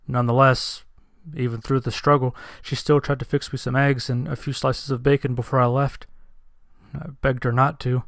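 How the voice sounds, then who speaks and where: A man's voice: mid-range voice, fairly bright tone, no room sound, clean studio sound. A man narrating a first-person dramatic story in a somber, earnest manner.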